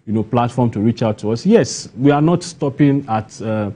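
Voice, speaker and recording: A man with a Nigerian accent answers questions in a broadcast interview. His voice is soft-edged and mellow. He sounds earnest and leans on points, repeating phrases for emphasis. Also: mid-range voice, expressive, normal speed. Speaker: playwright and guest.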